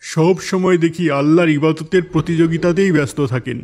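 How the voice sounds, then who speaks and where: A man's voice: fairly high pitch, steady tone, a little fast, darkish tone, close to an everyday voice. A man voicing a helpful, sympathetic market worker in a moral-tale cartoon, friendly and matter-of-fact.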